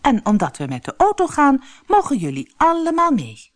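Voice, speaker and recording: A woman's voice: high voice, huge pitch swings, normal speed, voice pushed up. A woman voicing a cheerful little girl in a children's storybook, with childlike, exclaiming calls. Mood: excited. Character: cheerful little girl who owns many toys.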